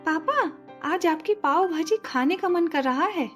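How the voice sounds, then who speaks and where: A woman's voice: extremely high pitch, animated, unhurried, faint music behind, pitched above the performer's own voice. A woman voicing a young boy in a children's story, childlike and pleading. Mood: eager. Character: young son of the vendor, plaintive little boy.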